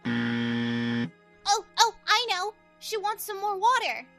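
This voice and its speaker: A woman voicing a prim, haughty young woman in a fan audio drama, with a shrill, very high voice. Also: wildly swooping pitch, takes it slowly, over music, heavily acted. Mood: eager.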